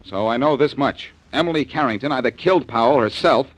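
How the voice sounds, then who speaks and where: A man's voice: medium pitch, brisk, lightly acted. Man playing a wisecracking radio detective. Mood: cocky. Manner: sardonic, needling. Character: wisecracking tough male private detective, cocky. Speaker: detective lead. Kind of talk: 1940s radio acting. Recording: dull old radio recording.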